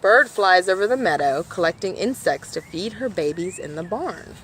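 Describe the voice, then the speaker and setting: A woman's voice: on the low side, lively intonation, normal speed, fairly bright tone, slight background noise, low-quality recording. A woman reading a children's picture book aloud, explaining things directly to her listeners as she goes. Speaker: storybook reader for a nature organization.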